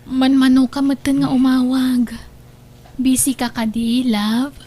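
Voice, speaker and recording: A woman acting a gentle, trusting, ailing young girlfriend in an Ilocano audio drama, with a soft, tender manner. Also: high-pitched, little pitch movement, unhurried. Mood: tender, hopeful.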